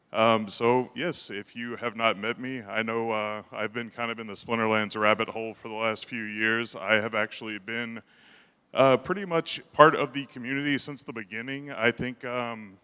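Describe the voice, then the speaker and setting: A man with a low voice giving his first conference talk, hesitant and full of fillers, holding the mic close. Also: sharp tone, clear, resonant tone, big-room sound. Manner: hesitant, many fillers and restarts. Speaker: conference speaker. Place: large conference hall. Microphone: handheld mic held close.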